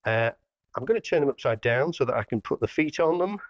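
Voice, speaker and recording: A man's voice: medium pitch, expressive, a little fast, dry recording, dull audio. A man giving a casual product demonstration as a hi-fi dealer, plainly explaining each step as he works. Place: indoor hi-fi showroom.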